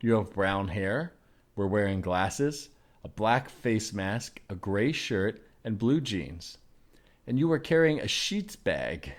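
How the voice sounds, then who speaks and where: A man hosting a comedy podcast, casually introducing and reading aloud with a husky voice. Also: on the low side, sing-song, slow.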